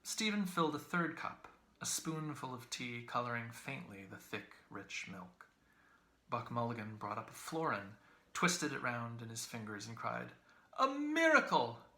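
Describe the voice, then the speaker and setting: Creaky-voiced man narrating, echoey room. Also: mid-range voice, lively intonation, unhurried, bright, forceful tone. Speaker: audiobook reader, English professor. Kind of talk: expressive literary narration.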